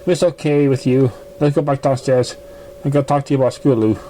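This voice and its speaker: Professional mare examiner read by a man for a storybook, businesslike, mellow tone, faint noise. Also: medium pitch, fairly even intonation, fast talker, close to an everyday voice, poor audio. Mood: firm. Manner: businesslike, matter-of-fact.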